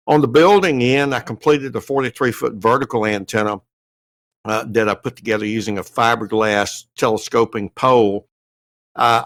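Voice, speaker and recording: A man's voice: fairly low pitch, a bit bright, no room sound. An American man giving a detailed, methodical update as a panellist on a casual podcast. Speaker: podcast panellist and amateur radio writer.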